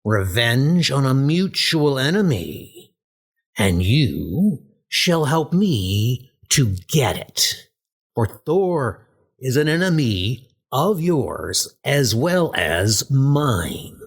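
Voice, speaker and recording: Guy doing an evil scheming god villain, slow n grandiose, really theatrical swoops, comic dub style. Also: medium pitch, sing-song, gravelly, slightly rough, full character voice. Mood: cold vengeful. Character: scheming, menacing male trickster god of evil, grandiose and vengeful.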